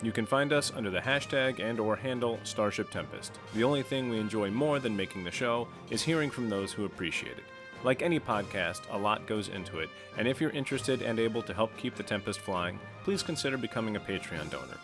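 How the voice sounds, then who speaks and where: A male announcer with a fairly low voice reads a scripted closing narration for a podcast. Music runs clearly beneath his words. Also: expressive, fairly quick.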